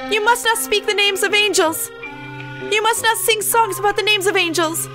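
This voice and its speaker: A woman's voice: very high-pitched, moderate pace, over music, pitched above the performer's own voice. A woman voicing a melodramatic, deluded bird schoolboy in a visual novel, declaiming grandly and urgently. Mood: dramatic, anguished. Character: melodramatic male bird schoolboy with apocalyptic delusions.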